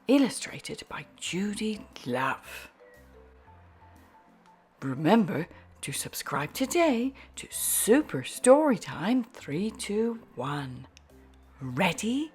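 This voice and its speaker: A woman reads a children's story aloud with hugely varied, swooping intonation. Her delivery is slow and deliberate, and her voice has a slightly husky, breathy edge. Music plays under the narration. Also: on the low side. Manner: slow, deliberate, animated phrasing. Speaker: storytime host reading a children's book aloud.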